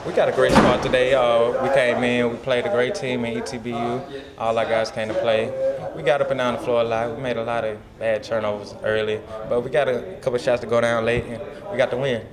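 A man's voice: mid-range voice, flat delivery, brisk. A man in his early twenties, a college basketball player, answering in a postgame interview. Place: indoors before a team backdrop. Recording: clear crowd noise behind.

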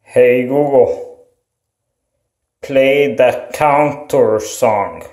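A man in a small bathroom, slowly and flatly giving voice commands. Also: medium pitch. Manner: slow, flat, deliberate commands. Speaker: video creator. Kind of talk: repeated voice commands. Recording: echoey bathroom.

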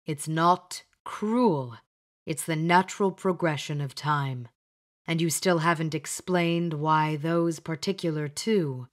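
A woman voicing a stern male spirit who speaks from a mirror, slow and grave. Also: sits low.

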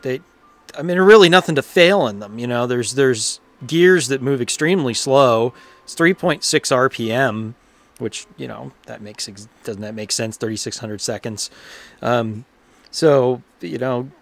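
A man giving casual, hands-on repair commentary in an informal, unhurried way, thinking aloud as he works. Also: mid-range voice, fairly bright tone. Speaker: repairer presenting his own workshop video.

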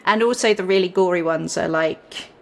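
A woman guest chatting casually in an interview, talkative and quick to laugh. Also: lowish voice, vocal fry. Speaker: historical consultant, podcast guest.

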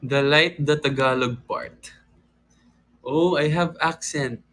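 A man's voice: fairly high pitch, takes it slowly, a bit bright. Playful young man on a phone livestream. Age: young adult. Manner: playful, reads comments, breaks into sung lines. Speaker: livestream host. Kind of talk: casual livestream chat with singing. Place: home room indoors. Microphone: phone camera close up.

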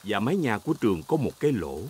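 A man playing the calm storybook narrator of a children's cartoon, reading scripted narration. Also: lowish voice, quick pace, slight background noise. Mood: matter-of-fact.

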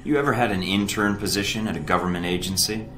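An actor playing a brash, cocky young American secret-agent recruit in a video game, with a flippant manner. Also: male voice, sits low, fast, fairly bright tone. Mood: defiant. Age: young adult. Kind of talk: video game cutscene dialogue. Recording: echoey, music underneath.

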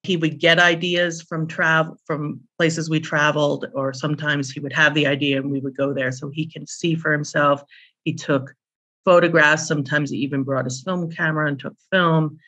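Low-key middle-aged woman reminiscing in conversation. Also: steady tone, moderate pace, a bit bright. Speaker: interview guest, author and publisher.

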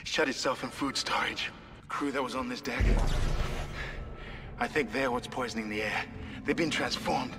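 A man playing a weary, ailing spaceship officer in a video game, speaking in a halting, grave way. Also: mid-range voice, natural voice. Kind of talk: dramatic video game acting. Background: game music.

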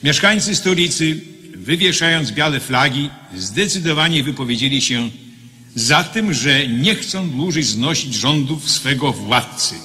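Stern court judge, formal, declamatory, highish lively voice, radio play. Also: male voice, a little slow, soft background music. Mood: solemn, accusing. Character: stern male presiding judge of a military tribunal. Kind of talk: Polish radio play acting. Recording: reverberant hall sound.

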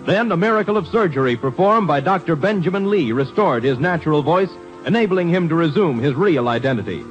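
Higher-pitched American radio announcer man over music. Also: lively intonation, fairly quick, highs cut off. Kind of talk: 1950s radio announcing, dramatic scripted narration. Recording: old radio broadcast recording.

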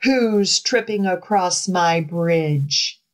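A woman voicing a grumpy, menacing ugly male troll in a storybook, growling and roaring drawn-out threats. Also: lowish voice. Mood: threatening.

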